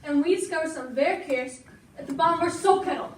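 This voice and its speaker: A woman's voice: high voice, very fast, slight background noise, lightly acted. A woman acting a curious, gossipy frontier sister in an amateur stage play. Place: indoor school stage. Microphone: distant audience camera. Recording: echoey school stage from far off.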